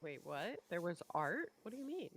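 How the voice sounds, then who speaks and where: A woman co-hosting a reaction stream in casual banter, expressive and self-deprecating in how she talks. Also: lowish voice, normal speed, slightly rough.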